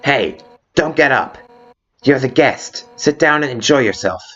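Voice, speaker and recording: Game fan dub: brash female fish-warrior played by a man, emphatic, sarcastic, slower delivery, dull lo-fi sound. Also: mid-range voice, expressive, pushed and bright. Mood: strained hospitality. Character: fierce, brash female fish-warrior monster, grudgingly hospitable. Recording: muffled lo-fi audio with background music.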